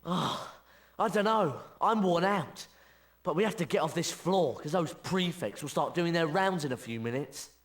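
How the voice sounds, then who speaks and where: A man's voice: on the high side, expressive, normal speed. A young-sounding male actor playing a grumbling, wary schoolboy wizard in a video game, casual and plaintive. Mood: weary. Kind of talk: video game cutscene acting. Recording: music under the voice.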